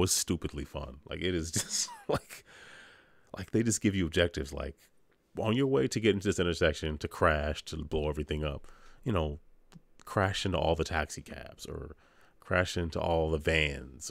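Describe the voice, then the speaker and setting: A man's voice: low voice, moderate pace, gravelly, dry recording. A man chatting casually as a podcast host, rambling through game reviews with joking asides.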